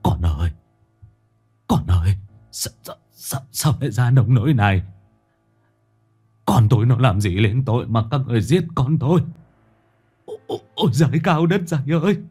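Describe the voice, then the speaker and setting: A man's voice: mid-range voice, animated, normal speed, long pauses, warm tone, slightly rough, faint music behind. A man voicing a grief-stricken old village laborer who cries out and stammers in anguish. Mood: wailing grief. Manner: sobbing, stammering, crying out.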